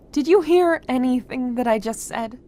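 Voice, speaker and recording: A woman acting a caring, earnest friend in an audio drama, speaking gently. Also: sits high, lively intonation, slow. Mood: weary concern.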